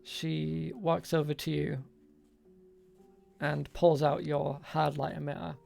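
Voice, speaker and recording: A man narrating an improvised tabletop game as game master, halting and pausing between short phrases. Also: on the high side, flat delivery, creaky, darkish tone, faint music behind.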